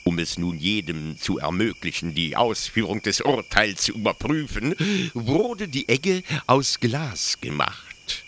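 An actor playing a zealous military officer who explains things eagerly, haltingly and pedantically in a German radio play. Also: male voice, on the low side, animated, a bit bright, slight background noise. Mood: proud, instructive. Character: zealous male military officer proudly explaining his execution machine.

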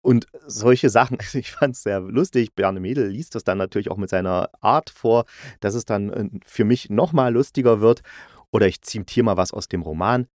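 A man's voice: fairly low pitch, moderate pace, a little mellow, dry recording, studio quality. A middle-aged man from East Germany giving a casual, colloquial and enthusiastic review as a podcast host. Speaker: audio drama critic, podcast host.

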